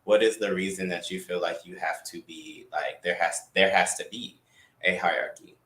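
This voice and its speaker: A man co-hosting a casual talk show, speaking in a calm, measured, thoughtful way. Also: sits low. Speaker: podcast co-host. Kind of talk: casual chat. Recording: echoey room.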